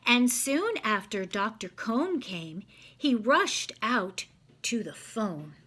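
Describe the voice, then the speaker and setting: A woman's voice: on the high side, big pitch swings, takes it slowly, fairly bright tone. A woman reading a picture book aloud to children, expressively, stopping to ask them simple questions. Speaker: children's read-aloud storyteller.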